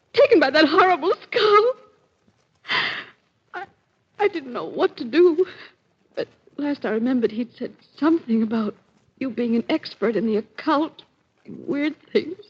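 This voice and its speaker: An actress playing a distraught, frightened wife in a 1940s radio mystery, with halting, melodramatic delivery. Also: female voice, sits high, animated. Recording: dull, lo-fi 1940s radio recording.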